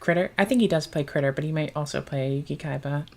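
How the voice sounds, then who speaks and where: A woman chatting casually as a commentator on a card game stream, soft-spoken and quick to laugh. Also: low-pitched.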